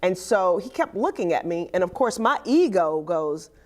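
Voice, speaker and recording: A woman hosting a podcast who talks in a casual way. Her voice is raspy, with some bass and hardness to it, and it carries loudly as she tells a lively story. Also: normal speed. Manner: loud voice that carries, animated storytelling. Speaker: podcast host. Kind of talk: casual chat, telling a story.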